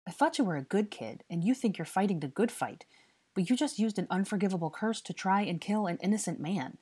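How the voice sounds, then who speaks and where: A woman's voice: mid-range voice, expressive, brisk, darkish tone, breathy edge, voice pushed up. A woman voicing a stern, furious young male investigator who scolds and interrogates with exasperated force in an audiobook story.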